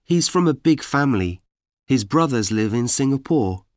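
A man reading example sentences for language learners, deliberate and evenly paced. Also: mid-range voice, lively intonation, no room sound, studio quality. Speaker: course audio speaker. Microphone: dry studio mic.

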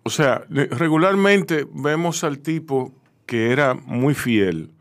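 Halting male interviewer, mid-pitched. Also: lively intonation. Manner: halting, repeats phrases as he builds questions. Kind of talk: casual chat interview.

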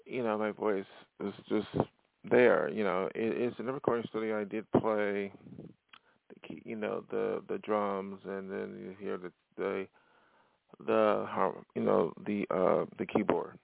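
A man's voice: lowish voice, fairly even intonation, unhurried, gravelly, no echo. A man hosting his own podcast as a musician, rambling casually with many fillers and restarts. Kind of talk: casual solo podcast talk. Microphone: phone or voice-message recording. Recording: dry, phone-like lo-fi sound.

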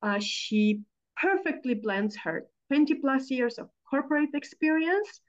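A woman hosting a podcast, introducing her guest with effusive, drawn-out phrasing. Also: high-pitched, lively intonation. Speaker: podcast host. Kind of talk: warm interview introduction. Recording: dull lo-fi sound.

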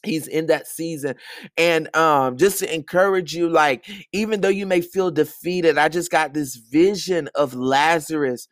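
A man's voice: fairly high pitch, measured pace, dry recording. A man hosting a podcast, giving an earnest, sermon-like talk of encouragement from his bedroom, repeating phrases for emphasis. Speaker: podcast host.

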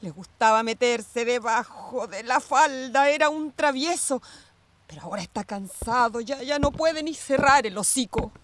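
An actress playing a troubled, evasive former police commander, defensive and theatrical, in a radio drama. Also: female voice, a little high, moderate pace, no room sound, lightly acted. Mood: anguished, wistful. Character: troubled, evasive ex-police commander woman mourning her dogs. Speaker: actor in a radio play.

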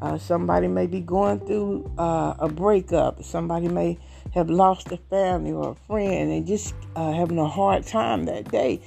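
A woman's voice: on the low side, steady tone, moderate pace, gravelly, soft background music. A woman in her late fifties with a Southern US accent, hosting a podcast and talking about herself in an earnest, rambling way. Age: 50s. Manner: earnest, rambling, warm-hearted testimony. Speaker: podcast host. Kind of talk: casual talk.

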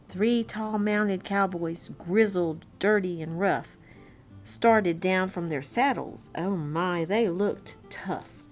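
A woman reads a picture-book story aloud at a slow pace. Her delivery is expressive and amused, slipping into chatty asides to young listeners. A classical violin track plays faintly behind her medium-pitched voice. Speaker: children's story-time reader. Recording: classical violin music bed. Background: classical violin concerto background track.

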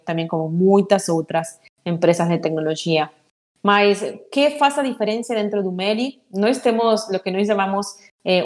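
A woman's voice: medium pitch, a little mellow. Argentine woman speaking careful Portuguese. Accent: Argentine Spanish. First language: Spanish. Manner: thoughtful, hesitant with frequent fillers. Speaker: guest, HR executive. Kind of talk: podcast interview.

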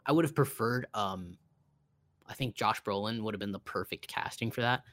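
Low-key man in casual chat, dry sound. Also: normal speed, fairly bright tone, dry recording. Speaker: podcast co-host. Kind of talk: casual conversational film and game chat.

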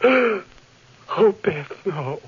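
A man's voice: high voice, lively intonation, slightly rough, full character voice. An actor playing a haunted, grieving scientist, telling his tragedy theatrically in old-time radio horror style. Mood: desolate. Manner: theatrical, deliberate. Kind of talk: 1940s radio horror acting, dramatic first-person narration. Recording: dull old radio broadcast recording.

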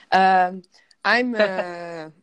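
A woman with a French accent, a guest in a casual interview, often pausing and searching for words. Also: lowish voice, clean tone, dull audio. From France.

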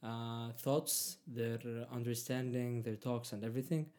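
A man's voice: mid-range voice, fairly even intonation, unhurried, a little mellow. A young man whose first language is Arabic, talking casually as a guest in English, hesitant with many fillers and restarts. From Palestinian, raised in Egypt. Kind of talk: casual conversation.

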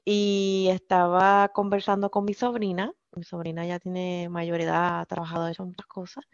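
A woman's voice: on the low side, level tone, moderate pace, clear, resonant tone, no echo. A Puerto Rican woman speaking as a podcast guest in casual chat, hesitant, with many filler sounds. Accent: Puerto Rican Spanish. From Puerto Rico. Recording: dull lo-fi sound.

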